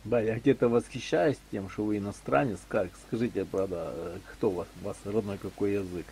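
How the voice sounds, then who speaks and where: A man's voice: lowish voice, fairly quick, warm tone, a little hiss or hum. A man in a casual voice chat about faith, rambling and searching for words as he talks. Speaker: chat participant. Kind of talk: casual religious discussion. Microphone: walkie-talkie voice chat app.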